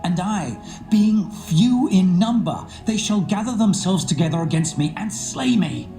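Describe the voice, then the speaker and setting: An actor playing an aggrieved old patriarch in a dramatised scripture reading, with a declamatory delivery. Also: male voice, high-pitched, expressive, unhurried, dark tone. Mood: troubled reproach. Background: music.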